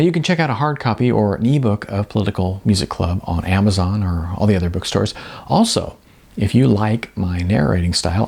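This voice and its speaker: Low-voiced man narrating. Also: creaky, darkish tone, breathy edge. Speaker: author reading from own novel. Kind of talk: scripted audiobook-style narration with casual asides.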